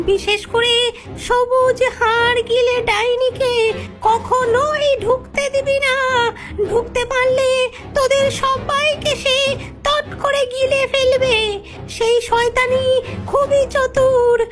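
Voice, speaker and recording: A woman voicing a gentle, worried elderly mother monkey in a cartoon, speaking in a careful, cautioning manner. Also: very high-pitched. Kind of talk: cartoon character dialogue.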